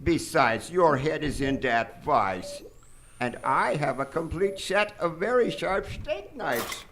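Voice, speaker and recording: Mad brain surgeon: high bright voice, mock-polite menace, live radio play, faint noise. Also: male voice, animated, takes it slowly, heavily acted. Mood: smug, boastful. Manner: theatrical, pompous, mock-polite. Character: gleeful mad brain-surgeon doctor, courteously menacing villain. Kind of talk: live stage radio drama acting. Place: theater stage, live performance.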